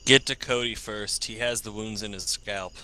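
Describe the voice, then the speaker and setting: A man with a fairly low but very bright, hard-edged voice plays in a role-play. He speaks his improvised lines in character. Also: steady tone, normal speed. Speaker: player in a role-playing game.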